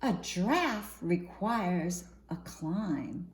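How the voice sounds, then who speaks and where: A woman reading a picture book aloud for young children in an expressive, singsong storytime manner, often posing questions to her listeners. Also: lowish voice, extremely slow. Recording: roomy echo, faint background noise.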